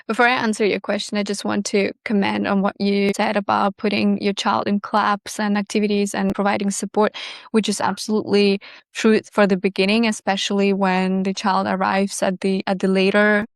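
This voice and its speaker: Woman expert, smooth and level delivery, measured explainer. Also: mid-range voice, monotone, no echo, clean studio sound. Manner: measured, explanatory, with small restarts. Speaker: bilingual education specialist. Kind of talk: expert interview answers.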